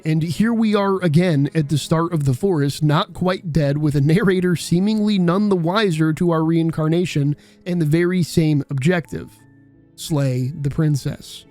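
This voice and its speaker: A man's voice: fairly high pitch, darkish tone. A man narrating a scripted video essay in a conversational, irreverent, sweary way.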